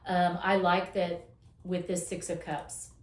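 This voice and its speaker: A woman's voice: lowish voice, little pitch movement, reverberant. Woman tarot reader losing her voice. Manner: encouraging, affirming, drawn-out emphasis. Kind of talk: casual weekly tarot reading.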